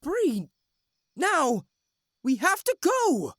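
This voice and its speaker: A woman's voice: high-pitched, huge pitch swings, very slow, no room sound, studio quality, full character voice. A woman playing an urgent, loyal young male page in a fantasy audio drama. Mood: panicked.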